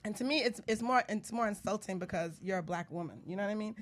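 Fast-talking New woman hosting a show. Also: mid-range voice. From Bronx, New York. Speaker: radio show host. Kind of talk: casual chat and storytelling.

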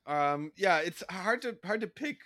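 A man's voice: sits high, animated, measured pace, bright, forceful tone, no room sound. Hesitant man, dry close sound. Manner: hesitant, searching for words, repeats himself. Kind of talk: casual discussion.

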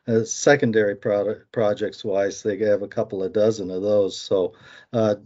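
A man with an American accent giving market commentary, halting often with fillers. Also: on the low side, a little mellow, lo-fi sound.

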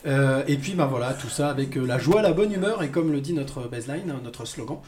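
A man's voice: mid-range voice, lively intonation, a little fast, darkish tone, echoey room, a little hiss or hum. A man hosting a casual interview in his apartment, talking with many fillers and restarts. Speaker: host.

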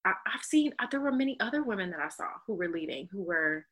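A woman in casual conversation, animated and playful, talking in quick bursts. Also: mid-range voice.

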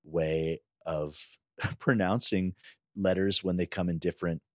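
A man's voice: low-pitched, fairly even intonation, measured pace, dry recording, clean studio sound. A man chatting casually as a podcast co-host. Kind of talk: casual chat.

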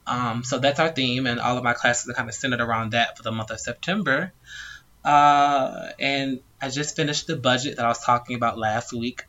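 Upbeat chatty guy on a podcast, bright punchy voice, kinda muffled audio. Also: medium pitch, steady tone, moderate pace. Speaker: podcast co-host, yoga teacher. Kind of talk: casual podcast chat.

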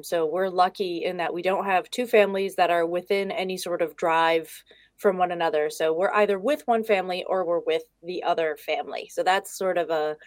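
A woman's voice: lowish voice, a little mellow, no room sound. A woman speaking as a guest expert on a video call, casual, candid and chatty. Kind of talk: casual conversation.

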